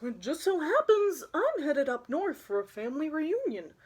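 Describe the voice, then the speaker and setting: Woman reading as a matter-of-fact male orca, lively pitch. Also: moderate pace. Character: male orca, casual deal-making sea animal.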